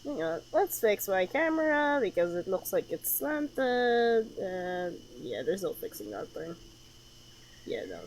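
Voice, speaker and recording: Expressive woman streaming a game. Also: medium pitch, slow pace, slight background noise, rough recording. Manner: expressive, sarcastic reactions. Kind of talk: playthrough commentary, reading game text aloud.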